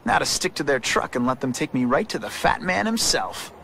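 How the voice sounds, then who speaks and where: An actor playing a wisecracking, fearless young American superhero in a video game, glib and taunting. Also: male voice, a little high, big pitch swings, a little fast, creaky, pushed and bright, a little hiss or hum, heavily acted. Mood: cocky, wry. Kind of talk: video game cutscene voice acting.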